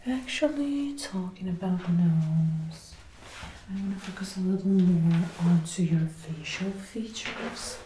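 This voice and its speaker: Female ASMR artist, hushed and extremely unhurried, mellow low-ish tone, whispering close to the mic over faint hiss. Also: rough recording. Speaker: ASMR creator acting out a personal attention measuring roleplay. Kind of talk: ASMR, soft spoken mixed with whispering. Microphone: close binaural microphone, ear to ear.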